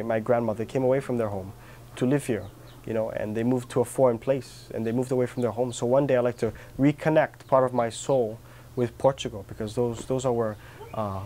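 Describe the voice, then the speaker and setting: A young man from Kauai, Hawaii, speaking earnestly and sincerely in an interview, pausing often with fillers and restarts. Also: medium pitch, dark tone, slight background noise. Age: young adult. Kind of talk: reflective personal interview. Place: outdoor patio with garden.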